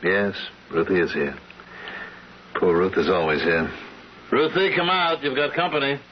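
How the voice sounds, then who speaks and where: A man's voice: deep voice, measured pace. An actor playing a bitter, weary older invalid father, wry and resigned, in an old-time radio drama. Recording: muffled, hissy vintage radio recording.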